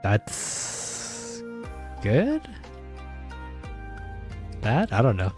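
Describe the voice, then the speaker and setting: A man's voice: lowish voice, huge pitch swings, extremely slow, darkish tone, soft background music. A man co-hosting a casual live-stream podcast chat, teasing his co-host and laughing easily.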